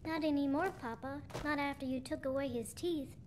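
A woman's voice: sits high, fairly even intonation, moderate pace, a little mellow, a little hiss or hum, lightly acted. An actress playing an eager, innocently morbid little girl in a video game, with a sweet childlike manner. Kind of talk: video game character acting.

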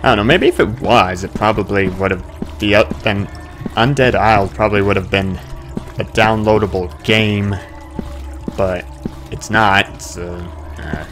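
A man's voice: fairly low pitch, measured pace. A man giving casual let's-play commentary, muttering asides and bursting into sudden exclamations, as host of a gaming video. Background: game music and sound effects.

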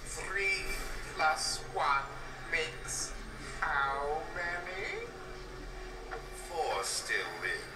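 A man's voice: on the high side, very animated, noisy background, exaggerated voice. An actor playing a scornful, condescending soldier who berates his partner in a video game. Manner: condescending, deliberate. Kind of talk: scripted video game voice acting. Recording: echoey distant game playback with music.

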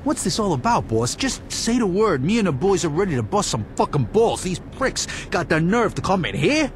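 A man's voice: sits high, very animated, normal speed, a little hiss or hum. A man voicing a loyal, hot-headed mob henchman in a video game, brash and streetwise. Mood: eager, indignant.

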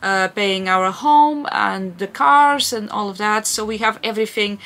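A woman's voice: mid-range voice, a little slow, a bit bright. A woman in her thirties from Portugal, speaking English with a Portuguese accent, chatting through a casual Q&A with many fillers and restarts. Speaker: vlogger. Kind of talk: casual Q&A chat.

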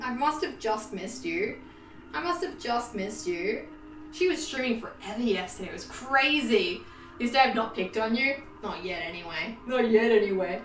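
A young Australian woman chatting to her livestream viewers, animated and self-mocking, laughing often. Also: on the high side, slight background noise. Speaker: livestream host. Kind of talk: casual livestream chat. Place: home sewing room. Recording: roomy, distant, faint music behind.

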